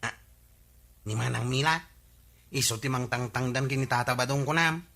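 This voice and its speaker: A man's voice: mid-range voice, moderate pace, vocal fry, sharp tone. A man playing an inquisitive, teasing village chief in a radio comedy drama, with an Ilocano accent.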